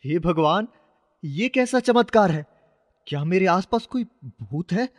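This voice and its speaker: A man's voice: sits high, animated, a little slow, long reverb tail. A man voicing a poor, kind-hearted young shoe-shine boy, earnest and pleading, in a children's moral story. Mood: amazed.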